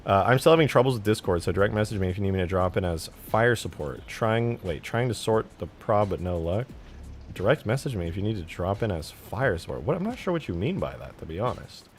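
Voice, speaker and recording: A man's voice: on the low side, very animated, brisk, a little hiss or hum. A man live streaming as he works on a game mod, chatting casually with viewers and muttering his steps aloud in fragments. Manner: thinks aloud in short fragments, muttering to himself while working. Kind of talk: casual live stream chat while working.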